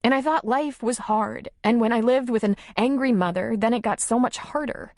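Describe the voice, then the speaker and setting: A woman voicing a tearful, timid young single mother who confides haltingly in an audiobook story. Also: on the high side, a little mellow, dry recording, clean studio sound, pitched above the performer's own voice, close to an everyday voice. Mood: confiding, vulnerable. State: tearful, sniffling.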